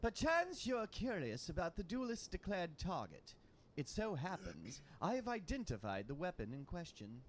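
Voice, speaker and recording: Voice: mid-range voice, wildly swooping pitch, normal speed, a little hiss or hum. A man voicing a prim, eloquent female reporter from a video game, with wordy, precise diction. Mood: self-assured. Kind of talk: game dialogue read-aloud.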